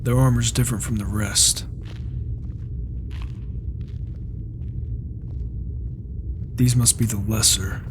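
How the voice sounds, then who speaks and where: A man's voice: lowish voice, fairly even intonation, brisk, lightly acted. A man roleplaying a cocky, sardonic wandering warrior in a video game, talking casually in character. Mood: musing, casual. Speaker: roleplaying gamer. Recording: faint noise, flawed microphone.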